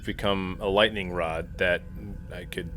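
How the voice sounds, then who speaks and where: A man's voice: sits low, fairly even intonation, a little slow, a bit bright, faint music behind. A man talking casually as a player in a tabletop role-playing game.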